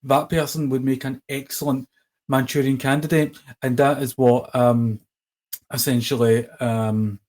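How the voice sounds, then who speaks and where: A man's voice: medium pitch, little pitch movement, measured pace, a little mellow. Man hosting a chat show. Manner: hesitant, lots of ums and restarts. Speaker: podcast host. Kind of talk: casual conversation.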